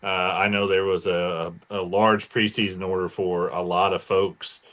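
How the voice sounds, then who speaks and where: A man with an American accent and a low-set voice. He speaks in a casual, conversational way, like a co-host chatting on a podcast. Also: flat delivery, moderate pace, a bit bright. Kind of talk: casual chat.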